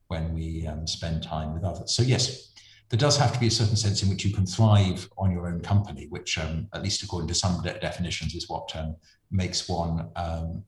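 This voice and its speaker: Deep-voiced male preacher, hesitant and thoughtful, echoey room sound. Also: a little mellow. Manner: hesitant, many ums and self-restarts, thoughtful. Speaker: friar and preacher. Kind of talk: reflective interview conversation.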